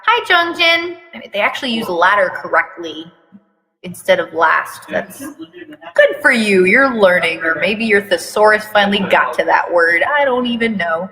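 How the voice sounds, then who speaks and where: A woman doing a dramatic reading of fan fiction, with sarcastic, deadpan asides. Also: medium pitch, moderate pace. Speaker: reader performing a fan fiction aloud. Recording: long hall-like reverb.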